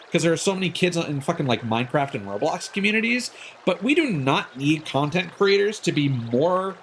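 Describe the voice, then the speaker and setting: Opinionated streamer guy over clear background noise. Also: a little high, a bit bright. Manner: opinionated, rambling. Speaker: live streamer hosting a game stream. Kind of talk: casual livestream chat.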